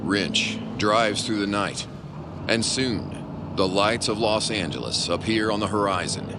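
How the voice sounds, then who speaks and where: A man's voice: lowish voice, expressive, measured pace. A man playing a brooding noir narrator, reading scripted narration for an audio drama. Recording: street and car noise behind.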